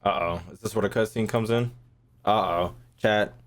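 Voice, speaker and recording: A man's voice: on the low side, moderate pace. A man casually commenting as he streams a game, with slangy, profane, joking interjections. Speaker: gaming streamer reacting to a game. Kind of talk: casual live gameplay commentary. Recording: game music behind the voice.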